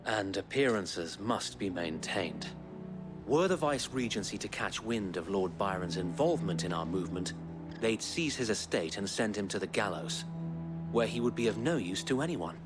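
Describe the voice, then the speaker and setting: An actor playing a loyal, battle-weary resistance knight in a fantasy game, earnest and formal with a rough soldier's tongue. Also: male voice, lowish voice, animated, moderate pace, natural voice. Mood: earnest, grave. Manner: earnest, rousing, formal speech with some coarse swearing. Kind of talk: dramatic fantasy game acting. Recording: orchestral game music behind.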